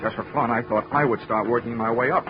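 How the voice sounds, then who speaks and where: An American actor playing a wry, hard-boiled investigator with dry, deadpan wisecracks in 1940s radio drama style. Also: male voice, medium pitch, fast, background noise, natural voice. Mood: wry narration. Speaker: lead actor and first-person narrator. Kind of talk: 1940s radio detective drama acting and narration. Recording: dull 1940s radio recording with music.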